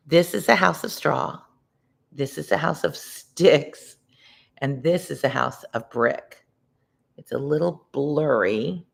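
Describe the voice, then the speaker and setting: Gravelly children's storyteller, expressive. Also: medium pitch. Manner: deliberate, expressive, explains words simply. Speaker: read-along storyteller and tutor. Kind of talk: children's story read aloud, with word explanations.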